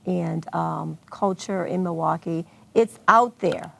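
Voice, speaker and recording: A woman with an American accent speaks in a notably low register. Her voice is very creaky and gravelly. She answers as an event organizer in an unscripted television interview. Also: slow pace. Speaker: guest, arts festival organizer.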